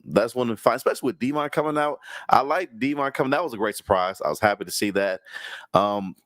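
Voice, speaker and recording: A man's voice: medium pitch, fast, fairly bright tone, no echo, clean studio sound. A man co-hosting a casual wrestling review, with a stuffy nose and a rambling way of talking full of likes and restarts. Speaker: co-host. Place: home in Houston.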